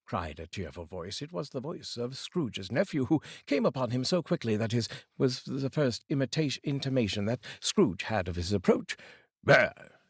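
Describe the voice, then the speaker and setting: A man reading a classic story aloud as a dramatic, expressive storyteller. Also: medium pitch, normal speed, few pauses, breathy edge, no echo, clean studio sound. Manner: theatrical, expressive. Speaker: storyteller, reader. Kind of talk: dramatic audiobook narration.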